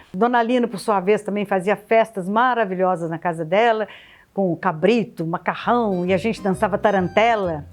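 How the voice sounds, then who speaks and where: Older woman fondly recalling family gatherings. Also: medium pitch. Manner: warm, nostalgic storytelling with repeated words. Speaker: interviewee. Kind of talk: reminiscing in a documentary interview.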